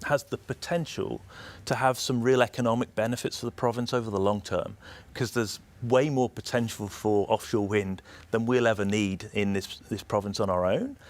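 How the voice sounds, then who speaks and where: A man with a British accent giving measured, explanatory answers as an executive being interviewed. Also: lowish voice, fairly even intonation. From United Kingdom. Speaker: energy system operator executive, interview guest.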